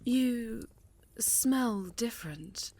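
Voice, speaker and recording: A woman playing a stern, highly strung witch leader in a fantasy ASMR roleplay, speaking formally and with command to a pupil. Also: lively intonation, very slow, mellow, full character voice. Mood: suspicious, probing.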